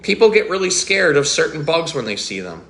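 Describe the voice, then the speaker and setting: A man in his thirties, a zookeeper doing a gentle, chatty children's storytime with occasional fillers. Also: on the high side, big pitch swings, fairly quick, creaky. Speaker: zookeeper reading a picture book. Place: small indoor room with painted wall. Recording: echoey small room.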